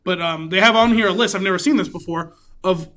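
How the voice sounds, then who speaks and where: A man co-hosting a podcast in casual chat, explaining details and pausing now and then to find his words. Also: sits high, sharp tone. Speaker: podcast co-host. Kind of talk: casual chat about craft beer and films.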